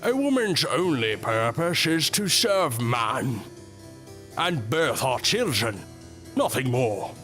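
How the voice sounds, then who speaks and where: An actor playing a pompous, domineering medical professor with an imperious manner in a Gothic audio drama. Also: male voice, medium pitch, sing-song, slow pace, fairly bright tone, faint music behind. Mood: haughty disdain.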